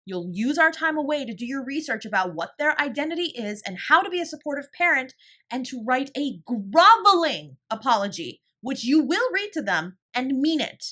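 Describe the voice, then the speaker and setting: This is a woman acting as a protective older sibling in an audio roleplay drama. The voice is high for a woman, with a bright, forceful edge. The delivery is emphatic and scolding, with sarcasm aimed at a parent who has let the family down. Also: sing-song, moderate pace, exaggerated voice. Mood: stern, commanding. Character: fiercely protective older sibling confronting their father.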